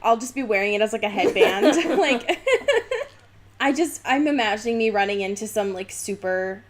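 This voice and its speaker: A young woman co-hosting a podcast in casual, jokey banter, animated and quick to break into laughter. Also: a little high, a crowd in the background. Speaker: podcast co-host.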